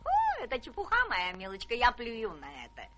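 An actress in a Russian radio play playing a rich, capricious, imperious old English spinster with a blunt theatrical manner. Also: female voice, on the high side, huge pitch swings, moderate pace, background noise. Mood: gushing, commanding.

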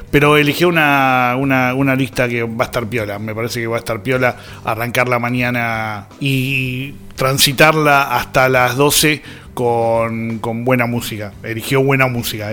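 A man's voice: mid-range voice, level tone, normal speed, bright, forceful tone. A man with a Buenos Aires accent hosting a radio show, talking in a rambling, conversational way full of small anecdotes. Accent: Argentine, Buenos Aires. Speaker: radio host. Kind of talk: casual radio chat.

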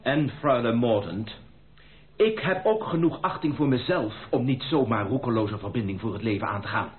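An actor playing a proud, stubborn gentleman suitor in a Dutch radio play, speaking formally and with dramatic emphasis. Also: medium pitch, expressive, normal speed, close to an everyday voice. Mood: insistent. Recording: thin narrow-band radio play recording.

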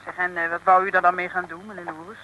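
Inquisitive polite girl sidekick in old radio comedy, very bright sharp voice, faint noise. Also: lowish voice, little pitch movement, fast, low-quality recording. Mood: curious. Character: curious, deferential young sidekick to a detective. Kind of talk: 1950s Dutch comic radio serial acting.

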